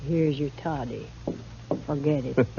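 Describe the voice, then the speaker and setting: A woman's voice: a bass voice, unhurried, creaky, mellow, faint music behind, heavily acted. An actress playing a worldly, teasing older American saloon madam, motherly and scheming, in a 1950s radio western. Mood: wistful, pointed. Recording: muffled, hissy early 1950s radio recording.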